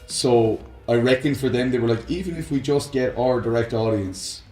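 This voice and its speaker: A man of average pitch talks as a co-host in an echoey room, with faint music behind him. He explains his views casually and at length, wandering from point to point with enthusiasm. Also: normal speed, a little mellow. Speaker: co-host of a film and games discussion show. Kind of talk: casual banter and opinionated chat. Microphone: close microphone on a stand.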